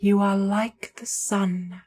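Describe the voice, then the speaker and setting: Casting: female meditation guide, mid-pitched, very unhurried delivery, hushed and soothing, short phrases with generous pauses. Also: level tone. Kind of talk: slow guided meditation narration.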